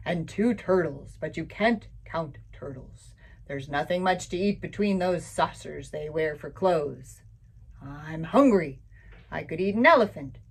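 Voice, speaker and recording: A woman voicing a big, hungry male lion in a storybook, growling and complaining loudly. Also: low voice, slightly rough, pitched below the performer's own voice, exaggerated voice.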